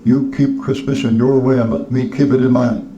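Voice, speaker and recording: A man's voice: medium pitch, moderate pace, highs cut off, background noise, natural voice. A man voicing a sour, miserly old businessman in a storybook, curt, stern and sneering.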